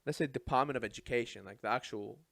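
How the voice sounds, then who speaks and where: A man's voice: mid-range voice, fast, vocal fry, dry recording. Iraqi-born man telling his story. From Iraq. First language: Arabic. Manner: animated, chatty, tags lines with "right?". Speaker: podcast guest. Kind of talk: casual storytelling chat. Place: studio.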